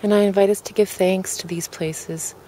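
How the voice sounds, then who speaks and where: A woman leading a guided relaxation in a calm, gentle way, with deliberate, pausing phrases. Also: mid-range voice, slight background noise, rough recording. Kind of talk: guided meditation, soft spoken invitation.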